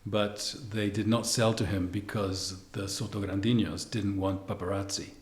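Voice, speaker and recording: A man's voice: fairly low pitch, little pitch movement, normal speed, a crowd in the background. A man hosting a podcast, interviewing a guest in a conversational style. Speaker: podcast host. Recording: roomy, echoing voice recording.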